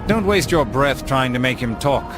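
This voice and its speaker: An actor playing a pious crusade lord in a video game, with a stately, authoritative manner. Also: male voice, medium pitch, lively intonation, moderate pace, lightly acted. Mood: paternal satisfaction. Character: solemn, pious crusade lord addressing his warriors. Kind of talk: dramatic video game cutscene acting. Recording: dramatic music behind the voice.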